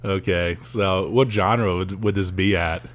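A man's voice: deep voice, fairly even intonation, measured pace. A young man co-hosting a podcast, chatting casually, teasing and laughing often. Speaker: podcast co-host. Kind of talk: casual chat.

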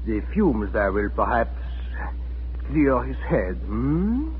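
An actor playing a smug, menacing German occupation colonel in a 1940s radio drama, imperious and sardonic. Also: male voice, medium pitch, very animated, takes it slowly, over loud music. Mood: smug, dismissive. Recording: scratchy, phone-like 1940s radio recording.

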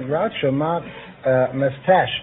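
A man's voice: mid-range voice, slow. A man answering as a quiz contestant in a polite, earnest, slightly hesitant way. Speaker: insurance broker, quiz contestant. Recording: tinny old radio recording, faint noise.

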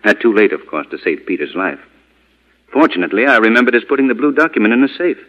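An actor playing a commanding, deliberate male vigilante crime fighter in 1940s radio drama style. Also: on the low side, expressive, dull audio. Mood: grave, explanatory. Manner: authoritative, urgent, deliberate.

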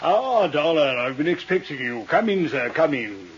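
An actor playing a genteel, vain, scheming male villain in 1950s radio drama, speaking in florid, courtly phrases with little hesitant pauses. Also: a little high, sing-song, slight background noise. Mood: smugly courteous. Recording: husky, dull 1950s radio recording.

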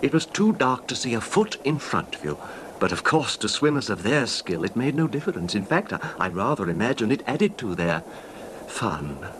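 Man acting meek cuckolded husband, coolly sinister confession, hesitant genteel delivery, lively intonation, noisy vintage radio drama. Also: mid-range voice, normal speed, natural voice.

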